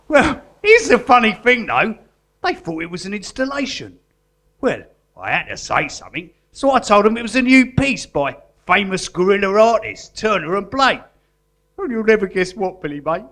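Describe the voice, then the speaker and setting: Matey jailbird artist, sentimental, bright voice, swooping intonation, comedy radio play. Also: male voice, high voice, sing-song, moderate pace, full character voice. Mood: matey, amused. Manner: matey, colloquial, earnest. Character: Bern, male guerrilla artist convict, matey and sentimental. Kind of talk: live comedy radio drama acting.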